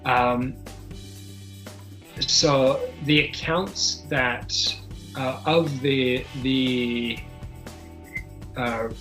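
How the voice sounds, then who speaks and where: A man working as game master of a tabletop superhero game, improvising narration and explaining rules in a halting way, searching for his words. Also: medium pitch, steady tone, a bit bright, soft background music, rough recording.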